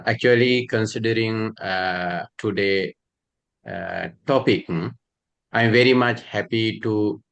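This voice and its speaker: A man from Sri Lanka introducing himself as a panelist on a video call, halting with many hums and fillers. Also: sits low, vocal fry, fairly bright tone. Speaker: panelist, university lecturer.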